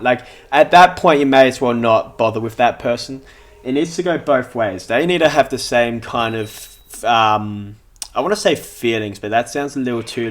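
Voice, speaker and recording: A nineteen-year-old man streaming a game, chatting casually in a rambling, talkative way while answering chat, with a voice that sounds younger than his age. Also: mid-range voice. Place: at home in front of a big TV.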